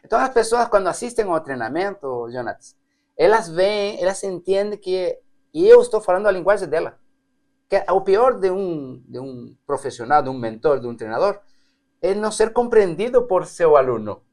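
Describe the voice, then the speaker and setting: A man's voice: high-pitched, expressive, moderate pace, a little mellow. A man from Spain speaking with a Spanish accent, hosting a podcast interview in a direct, simple way. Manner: direct, simple phrasing, frequent laughs. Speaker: host and mentor.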